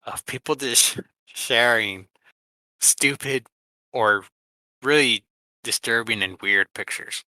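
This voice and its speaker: A man co-hosting a podcast, chatting casually in a halting, easily amused way. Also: mid-range voice, pushed and bright, no echo, poor audio. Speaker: podcast co-host. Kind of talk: casual chat.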